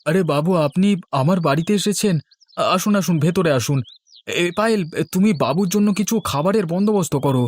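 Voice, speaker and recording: A man's voice: high-pitched, a little fast. A man playing a poor, humble village man in a cartoon, speaking deferentially and hesitantly. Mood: welcoming, respectful. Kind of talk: Bengali cartoon story voice acting. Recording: faint music behind the voice.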